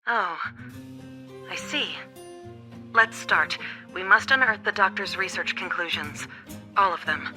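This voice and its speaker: A woman playing a composed, commanding female ruler in a video game, formal and measured. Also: lowish voice, pushed and bright, soft background music.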